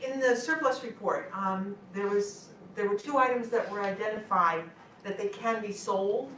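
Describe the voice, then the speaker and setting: A woman's voice: medium pitch, moderate pace, a bit bright. A woman chairing a school board meeting, asking plain questions. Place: meeting room. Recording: distant echoey room mic, faint noise.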